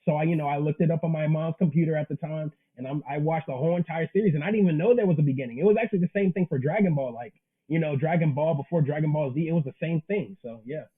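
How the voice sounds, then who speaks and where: A man's voice: on the high side, quick pace, hardly any pauses, slightly rough, phone-quality sound. A man in his early twenties with an American accent, chatting casually as a guest, agreeable and emphatic in his slang. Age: early 20s. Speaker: interview guest, indie manga creator. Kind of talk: casual chat.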